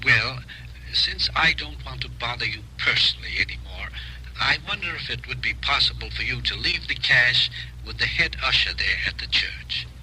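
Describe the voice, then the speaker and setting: Bright-voiced, genteel male swindler. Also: medium pitch, animated, normal speed. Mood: smooth, feigned sorrow. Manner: polite, hesitant, genteel pleading. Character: smooth, apologetic gentleman con man feigning sorrow. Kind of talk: 1950s radio drama acting. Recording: hissy, lo-fi vintage radio recording.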